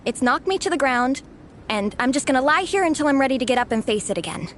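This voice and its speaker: A woman playing an excitable young student and comic fanatic in a video game, theatrical and over-earnest. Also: sits high, fast talker, a little hiss or hum. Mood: pleading, defeated. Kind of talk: video game character dialogue. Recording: game music behind voice.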